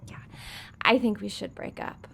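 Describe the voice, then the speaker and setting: A woman playing a blunt, unenthusiastic young woman in a comedic audio drama. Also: natural voice. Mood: blunt, hesitant. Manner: blunt, unhurried.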